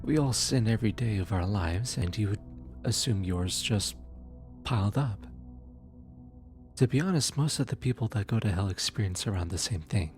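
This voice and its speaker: A man playing a gentle, awkward demon soul collector in an ASMR audio roleplay, speaking softly, tenderly and a little haltingly to comfort the listener. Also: lowish voice, lively intonation, soft background music. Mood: calm, reassuring. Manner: hesitant, halting, tender, casual.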